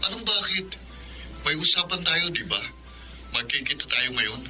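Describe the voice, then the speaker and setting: An actor playing a bossy, impatient young boyfriend in a radio drama, curt and demanding. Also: male voice, a little high, lively intonation, normal speed, bright, forceful tone, soft background music, poor audio. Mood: irritated.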